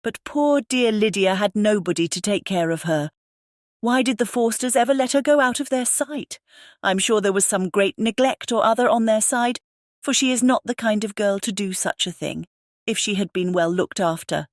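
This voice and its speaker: A woman's voice: mid-range voice, normal speed, dry recording, studio quality, natural voice. A woman voicing a fretful, self-pitying middle-aged mother in an audiobook, lamenting and complaining in exclamations. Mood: plaintive, distressed. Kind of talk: dramatized audiobook character reading.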